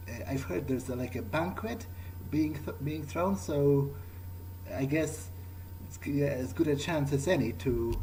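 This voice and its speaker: A man role-playing through a game in character, thinking aloud in a hesitant, halting, musing way. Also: mid-range voice, dark tone, slight background noise, low-quality recording. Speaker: gaming video player, role-playing in character.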